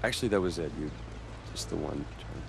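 A man's voice: extremely low pitch, expressive, brisk, gravelly, background noise, exaggerated voice. A young man with an American accent acting a cocky, sarcastic, wisecracking superpowered rebel in a video game. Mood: teasing. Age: young adult. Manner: flippant, sarcastic.

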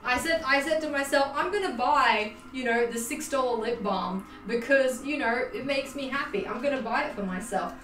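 A young Australian woman chatting to her livestream viewers, animated and self-mocking, laughing often. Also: sits high, normal speed, hardly any pauses, slight background noise. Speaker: livestream host. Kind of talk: casual livestream chat. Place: home sewing room. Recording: roomy, distant, faint music behind.

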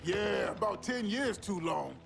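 A big, hearty veteran soldier in a video game. He is loud and affectionate, with a very high, creaky pitch over music. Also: animated, a little slow, exaggerated voice. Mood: exuberant. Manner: whooping, hearty. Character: huge boisterous middle-aged male soldier, exuberant and affectionate.

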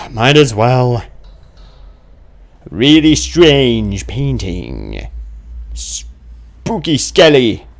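A man's voice: mid-range voice, very animated, extremely slow, long pauses. A man giving casual let's play commentary, thinking aloud in a grumbling, playfully exclaiming way. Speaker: gamer playing a horror game on his channel.

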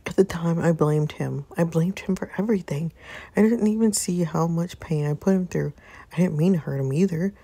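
Woman narrating as bereaved young wife, low pitch, dark soft timbre, halting, anguished, regretful, audiobook drama. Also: slightly rough, dry recording. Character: grieving young wife confessing pain and regret. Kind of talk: first-person dramatic story narration.

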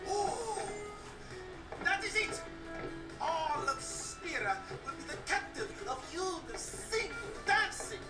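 A man's voice: very high voice, sing-song, takes it slowly, full character voice. An actor playing a brash, hot-blooded young airship gang leader in a video game, bombastic in his orders. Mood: excited. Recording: echoey, distant game audio under music.

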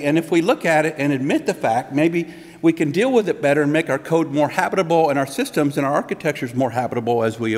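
A middle-aged man speaking at a podium in a hotel conference room, giving an interactive, conversational talk as a consultant. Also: on the high side. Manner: conversational, restarts, laughs at own jokes. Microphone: podium mic. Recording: long hall reverb.

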